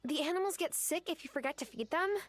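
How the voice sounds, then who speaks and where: High-voiced young farm woman, dry sound. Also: animated, fast talker, no room sound, faint music behind. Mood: concerned, surprised. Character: young farm woman helping her grandma, eager and puzzled. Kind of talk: voiced video game dialogue.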